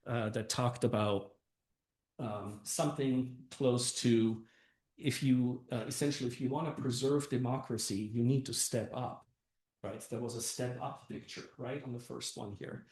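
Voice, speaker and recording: A man's voice: medium pitch, steady tone, unhurried, a little mellow. Older guy from austria and germany talking earnestly to a crowd, lots of um and right?, echoey room. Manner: earnest, conversational, many fillers and "right?". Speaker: council candidate. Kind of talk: campaign speech at a meeting.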